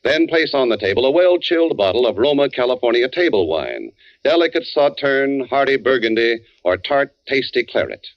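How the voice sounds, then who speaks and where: A man's voice: medium pitch, sing-song, normal speed, dry recording. An American man reading radio advertising as a polished, persuasive 1940s announcer. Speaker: commercial announcer. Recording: muffled old radio recording.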